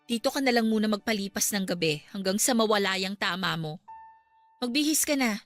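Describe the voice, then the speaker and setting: A woman's voice: mid-range voice, expressive, a little fast, a bit bright, dry recording, lightly acted. An actress with a Filipino accent playing a worried but firm young girlfriend in a Tagalog radio drama, emotional and protective. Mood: concerned, scolding. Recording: dramatic music bed behind the voice.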